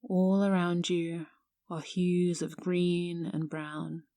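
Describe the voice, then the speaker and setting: Slow, low-pitched woman guiding meditation. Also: little pitch movement, dry recording, clean studio sound. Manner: hushed, soothing, measured with long pauses. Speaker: yoga teacher guiding a meditation. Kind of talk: guided meditation narration.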